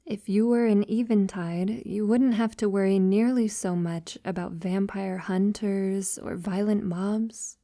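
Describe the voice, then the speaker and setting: A tender, long-lived female vampire acted by a woman speaks intimately to a lover in an audio roleplay. The voice is dark and soft, very slow, with hesitant pauses and breathy near-whispers. The sound is dry and close. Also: medium pitch, fairly even intonation, no room sound, close to an everyday voice. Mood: earnest, gentle.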